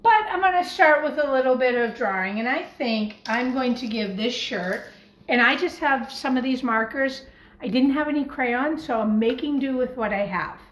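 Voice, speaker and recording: A woman teacher gently and encouragingly talking young children through a craft in simple words as she demonstrates it. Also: high-pitched, lively intonation, normal speed. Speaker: primary school teacher leading a craft. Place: home kitchen, by the refrigerator. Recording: echoey room with faint background noise.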